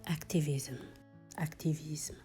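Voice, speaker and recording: A woman with a very low voice completes and repeats phrases. Her voice is flat, with little rise and fall, and at times drops to a near whisper. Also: slow, faint music behind.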